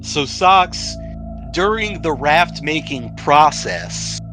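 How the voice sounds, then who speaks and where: A man's voice: fairly high pitch, very animated, takes it slowly, over music. A man acting as game master, narrating a tabletop roleplaying game casually and jokingly, often laughing as he talks. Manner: jokey, laughs often while talking.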